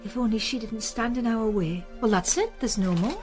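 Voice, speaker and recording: An actress playing a pious, prim, indignant older sister in a radio drama. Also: female voice, on the high side, moderate pace, a little mellow, faint music behind, natural voice. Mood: flustered, guarded. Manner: prim, righteous, protesting.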